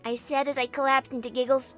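Woman as a very high, excitable little girl. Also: quick pace, faint music behind, lightly acted. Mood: gleeful, fond. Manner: gleeful, childlike storytelling. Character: giggly, excitable little girl narrating her play battle. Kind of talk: storybook narration in character.

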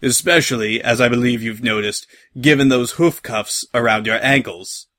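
A man voicing a smug, malicious pony sky captain, taunting in a grandiose, drawn-out way for an audio story. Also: medium pitch, sharp tone, close to an everyday voice.

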